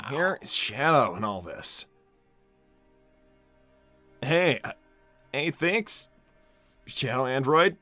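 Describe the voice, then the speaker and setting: A man's voice: mid-range voice, very animated, slow pace, long pauses, pushed and bright, dry recording, full character voice. A man playing a cocky but kindly young hedgehog hero, stammering and rambling casually in improvised game role-play. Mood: resigned. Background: music.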